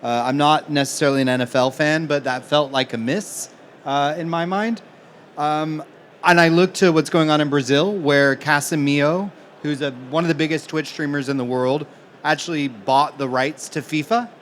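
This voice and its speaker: A man from Manchester with a slightly high-pitched voice argues his points conversationally on a conference panel. There is some background noise from the live event. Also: fairly even intonation, normal speed. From Manchester, England, now living in the US. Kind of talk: panel discussion, conversational argument.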